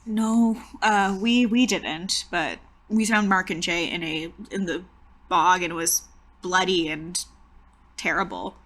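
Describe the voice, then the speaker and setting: Woman playing a guarded, bright-voiced loner counselor. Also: on the low side, fairly even intonation. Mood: shaken. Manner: evasive, halting. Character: aloof loner camp ropes keeper, guarded woman.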